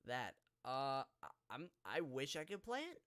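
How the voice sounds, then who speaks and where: Male podcast host, clean smooth tone, informal live chat, meandering and halting in his phrasing. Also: mid-range voice, sing-song, a bit bright. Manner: hesitant, rambling, often trailing off mid-thought. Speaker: podcast host and amateur voice actor.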